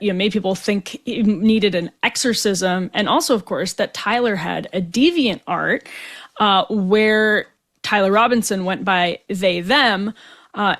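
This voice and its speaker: A young woman hosting a political commentary show, talking casually with many fillers and restarts. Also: medium pitch. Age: young adult. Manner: conversational, with frequent fillers and restarts. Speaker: host.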